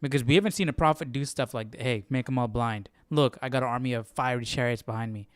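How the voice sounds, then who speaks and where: A man hosting a Bible study livestream, thinking aloud in a casual, informal way and often halting to search for words. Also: mid-range voice, clear, resonant tone, no room sound.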